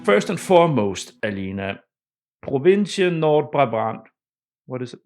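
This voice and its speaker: A man hosting a podcast interview in an informal, halting conversational way, often repeating words and restarting. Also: mid-range voice, very animated, darkish tone, faint music behind. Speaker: podcast host.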